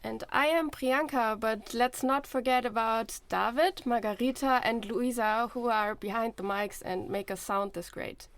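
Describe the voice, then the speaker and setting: A woman's voice: fairly high pitch, measured pace, few pauses, no echo. A young woman talking conversationally as a co-host of a student podcast. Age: young adult. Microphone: close podcast microphone.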